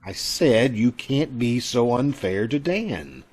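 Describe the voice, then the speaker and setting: An actor playing a concerned, disapproving doctor in an old-time radio drama, speaking earnestly and haltingly. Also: male voice, mid-range voice, sing-song, darkish tone, slightly rough, heavily acted. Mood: pleading, firm.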